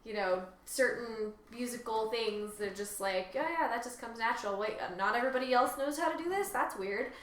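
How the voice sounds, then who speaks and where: A woman's voice: fairly high pitch, fairly quick, few pauses. A woman co-hosting a casual chat, speaking informally and sometimes trailing off mid-sentence. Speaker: co-host. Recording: echoey room with faint noise.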